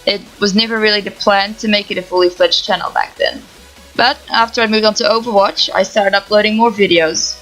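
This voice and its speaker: A young woman from the Netherlands with a Dutch accent, calmly reading out answers to viewer questions for her gaming channel. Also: medium pitch, fairly quick, faint music behind, low-quality recording. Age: young adult. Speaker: gaming YouTuber. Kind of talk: reading Q&A answers to camera, no face cam. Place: home setup, voice-over without camera.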